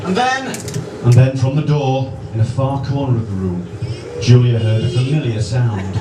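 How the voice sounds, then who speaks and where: A man narrating a spoof radio bedtime story live on stage, theatrically, with dramatic pauses. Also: medium pitch, expressive. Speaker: narrator of a live comedy sketch. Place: large indoor club event before live audience. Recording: echoey noisy hall, distant microphone.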